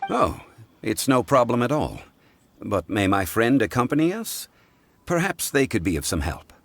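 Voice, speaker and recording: An actor playing a calm, learned male consultant in a game cutscene, deep and courteous, correcting others in an unhurried, polite way. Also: gravelly, heavily acted. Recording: game cutscene with faint score.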